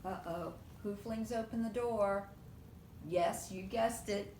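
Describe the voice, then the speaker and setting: A woman reading a picture book aloud to young children in an expressive, animated way, often putting questions to her listeners. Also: slow pace. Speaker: preschool storytime reader. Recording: roomy echo with faint low rumble.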